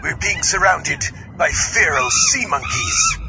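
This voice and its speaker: A man's voice: high voice, huge pitch swings, slow pace, muffled recording, very noisy, full character voice. A man playing a greedy, grumbling comic pirate captain with theatrical pirate talk in a podcast skit. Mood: alarmed. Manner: theatrical, pirate-style speech.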